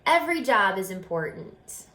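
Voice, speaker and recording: A woman reading a children's story aloud in an expressive, explaining manner, pausing to put questions to young listeners. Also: mid-range voice. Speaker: story reader and teacher. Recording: roomy, echoing room sound.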